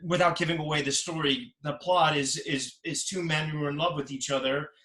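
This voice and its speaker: A man hosts a podcast in a bright, slightly high voice, gushing and eager as he asks his questions. He sounds like he is in an echoey room. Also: steady tone, brisk. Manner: eager, effusive interviewer. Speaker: podcast host. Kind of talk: casual interview conversation.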